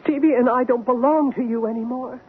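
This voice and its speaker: A woman's voice: a little high, fairly even intonation, a little fast, lightly acted. An actress playing an anguished but firm woman doctor confronting her returned husband, earnest and emotional, in an old-time radio drama. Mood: defiant. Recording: narrow, noisy old radio recording.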